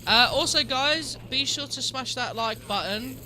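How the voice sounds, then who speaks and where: Bright-voiced male streamer with a cold. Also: very high voice, unhurried, a little hiss or hum. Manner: chatty, answers trivia aloud, jokes with chat. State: has a cold, sniffly. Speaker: game streamer. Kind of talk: casual live-stream commentary.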